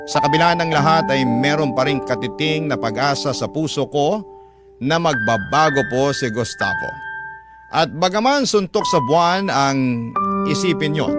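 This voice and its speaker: A man narrating a listener's letter in the first person as a scripted radio drama story. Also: mid-range voice. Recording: loud piano music under voice. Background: loud piano music.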